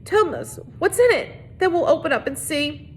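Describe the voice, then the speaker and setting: A woman's voice: animated, moderate pace. A woman reading a storybook, voicing a domineering, frantic woman warden who gives insistent orders. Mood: demanding. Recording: noisy recording with low rumble.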